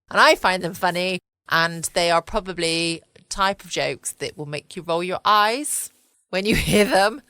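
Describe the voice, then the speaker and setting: A woman's voice: lowish voice, a bit bright, dry recording. A woman with a southern English accent chatting casually and cosily as host of a bedtime podcast. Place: small home office studio.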